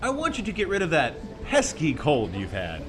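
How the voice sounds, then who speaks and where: A man's voice: on the high side, wildly swooping pitch, normal speed, background noise. A man playing a jovial, generous academic in an audio drama, gushing and theatrical in manner. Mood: effusive, generous. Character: jovial, effusive, generous male academic doctor.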